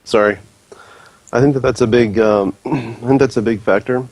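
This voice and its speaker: A man's voice: mid-range voice, steady tone, normal speed, a little mellow, no echo, rough recording. A man speaking earnestly and persuasively on a casual podcast, with many fillers. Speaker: podcast guest, league executive.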